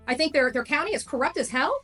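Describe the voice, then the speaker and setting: A woman's voice: high voice, animated, fast talker, pushed and bright, faint music behind. A woman talking in an informal livestream monologue, defiant, emphatic and combative. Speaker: livestreamer covering a case.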